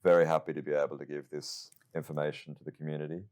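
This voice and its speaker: A man hosting an interview at a game reveal, speaking in a measured, theatrical presenter style. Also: very deep voice, little pitch movement, darkish tone, clean tone.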